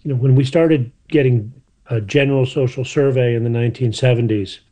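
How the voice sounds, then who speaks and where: A man's voice: medium pitch, fairly quick, mellow. A man, a history professor, explaining things in a radio interview, hesitant at first.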